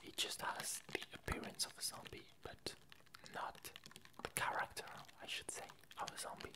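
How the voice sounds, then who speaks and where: A woman whispering a casual ASMR update, talking in halting, softly hesitant phrases. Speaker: ASMR video creator.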